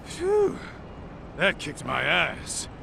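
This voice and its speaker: An actor playing a brash, hot-blooded detective sidekick in an English game dub, blunt, cocky and street-tough. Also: male voice, fairly high pitch, huge pitch swings, very slow, gravelly, background noise, exaggerated voice. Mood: fired up.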